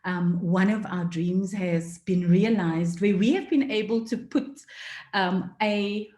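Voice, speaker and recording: Earnest woman forum speaker, mellow tone, fillers, echoey room. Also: mid-range voice, takes it slowly. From South Africa. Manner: earnest, many fillers, emotional at times. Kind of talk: passionate talk. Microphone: video call.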